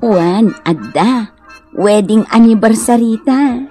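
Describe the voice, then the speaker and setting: A woman's voice: fairly high pitch, slow, dark tone, soft background music. A woman playing an agreeable, cheerful and hospitable wife in a radio comedy drama, with a homely manner.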